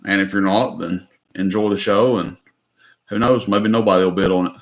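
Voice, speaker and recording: A man's voice: deep voice, steady tone, normal speed. Easygoing man running a live auction. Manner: easygoing, rambling, repeats himself. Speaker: livestream auction host. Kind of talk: casual live-stream auction talk. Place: indoors at home.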